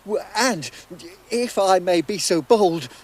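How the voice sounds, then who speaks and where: Timid, high-voiced servile creature. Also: male voice, lively intonation, slow. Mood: fearful. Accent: British. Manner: hesitant, stammering, servile. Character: timid, deferential male creature pleading with a queen. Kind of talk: dramatic radio play acting.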